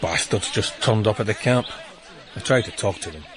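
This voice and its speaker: A man's voice: fairly low pitch, normal speed, a crowd in the background, lightly acted. An actor playing a blunt, sarcastic Roman centurion in a radio drama, grumbling and curt with his men.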